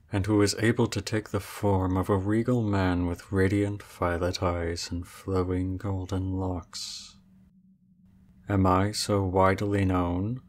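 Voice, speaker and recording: A man playing an aloof, regal dragon in human form for an ASMR roleplay, speaking in formal, measured, deadpan phrases. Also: low voice, a little hiss or hum. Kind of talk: ASMR roleplay audio drama.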